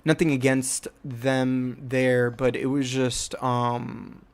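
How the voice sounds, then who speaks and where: A man's voice: mid-range voice, flat delivery, takes it slowly. A young man from New Jersey telling a rambling personal story about his filmmaking, with many fillers and restarts. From United States (New Jersey). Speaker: filmmaker and channel host.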